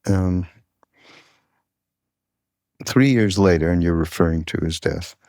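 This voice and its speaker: A man with a fairly low voice hosts a casual interview podcast. He talks very slowly and informally, wandering and halting as he goes. The sound is close and dry, with hardly any room on it. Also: a little mellow, no echo. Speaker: podcast host.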